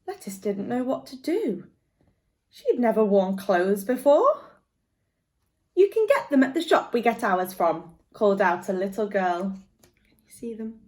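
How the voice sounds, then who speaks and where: A woman guest reader reading a picture book aloud to young children in an expressive storytelling manner. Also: mid-range voice, measured pace, long pauses.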